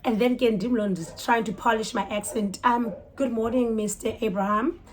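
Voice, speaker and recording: A woman's voice: fairly high pitch, moderate pace, a little hiss or hum. A woman with a South African Xhosa accent telling a story casually and expressively, switching languages mid-sentence. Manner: animated, code-switches mid-sentence, exclaims and acts out dialogue. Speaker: storytime vlogger.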